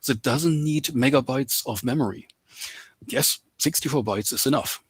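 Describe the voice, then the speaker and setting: A man lectures in English that is not his first language, pausing often with ums and uhs. His voice is slightly husky and mid-pitched. The sound is dry and close, like a call mic. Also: no echo. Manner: hesitant, many ums and uhs. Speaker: guest lecturer. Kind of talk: open lecture over video call. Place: home in Canada.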